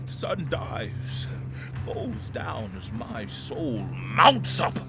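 An actor playing a vengeful old one-legged whaling captain, grandiose and oratorical, in a theatrical audio drama. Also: male voice, medium pitch, huge pitch swings, drawn-out words, over music, exaggerated voice. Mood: bitter, anguished. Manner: grandiose, oratorical, brooding to thundering.

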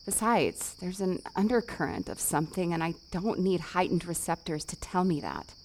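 A woman's voice: lowish voice, lively intonation, moderate pace, darkish tone, slight background noise. A woman acting in a fiction podcast as a cocky, playful and fiercely supportive wife, with a casual, emphatic, teasing delivery. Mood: tender, firm.

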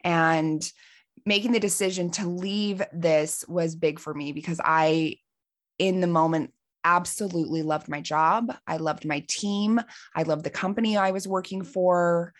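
Slightly raspy, lower-voiced woman coach. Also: unhurried. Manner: earnest, heartfelt, excited. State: hoarse from allergies. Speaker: life coach and podcast host. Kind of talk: personal storytelling monologue.

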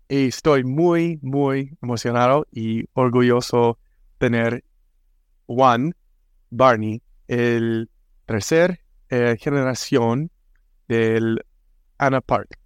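A man's voice: medium pitch, clean tone, no room sound, studio quality. A man from the United States with an American accent, a native English speaker hosting a casual podcast and speaking hesitantly, with frequent restarts and fillers. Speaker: podcast host.

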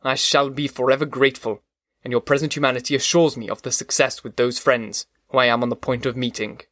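A man's voice: medium pitch, steady tone, brisk, a bit bright, dry recording, clean studio sound, close to an everyday voice. Man voicing a lonely, pleading creature. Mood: grateful. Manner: pleading, fervent old-fashioned speech. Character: lonely, pleading male creature, desperate for kindness.

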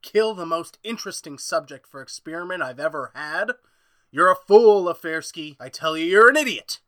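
A man's voice: a little high, expressive, moderate pace, a bit bright, no echo. A man playing an obsessed, domineering mad scientist in an old-time radio horror play, with a theatrical, gloating manner. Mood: grandiose, scornful.

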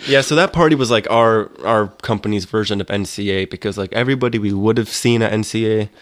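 Stuffy, laid-back low-voiced man. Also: quick pace, no echo. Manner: relaxed, laid-back, hesitant with fillers. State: stuffy nose from allergies. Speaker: podcast co-host, coffee taster. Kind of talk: casual chat.

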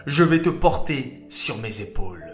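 A man voicing a modest, gentle shepherd hero in a children's story, earnest and pleading. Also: high voice, animated, slow, soft background music, voice pushed up, heavily acted. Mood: tender.

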